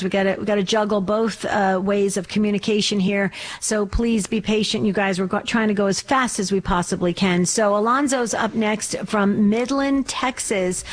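A woman co-hosting a religious call-in radio show, chatting in an informal, encouraging way. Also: mid-range voice. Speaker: radio co-host.

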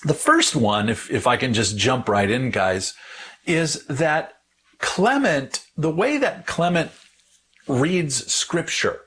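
A man on a podcast panel teaching conversationally about religion, deliberately repeating words for emphasis. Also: mid-range voice, very animated, fairly bright tone, slightly rough.

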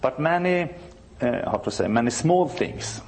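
A Swedish man in his sixties from the north of the country, a church musician being interviewed, speaking halting English and often restarting to search for words. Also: medium pitch, animated, darkish tone. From northern Sweden.

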